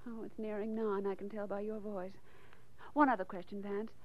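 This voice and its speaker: An actress in a 1940s radio drama playing a detective's eager, admiring secretary, inquisitive and coy. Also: female voice, mid-range voice, level tone, moderate pace, close to an everyday voice. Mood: curious, eager. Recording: muffled vintage 1940s radio recording.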